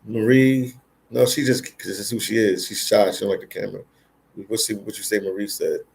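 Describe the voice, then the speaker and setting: A man's voice: lowish voice, fairly even intonation, brisk, creaky, poor audio. A middle-aged American man hosting a casual livestream, reading viewer comments aloud in a laid-back, slangy, teasing way. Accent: American English.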